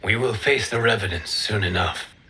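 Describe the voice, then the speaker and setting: An actor playing a calm, dignified god in a video game, speaking formally and earnestly. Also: male voice, fairly low pitch, moderate pace, a little hiss or hum. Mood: calm assurance. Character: calm, dignified male god, steadfast ally. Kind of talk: dramatic video game cutscene acting.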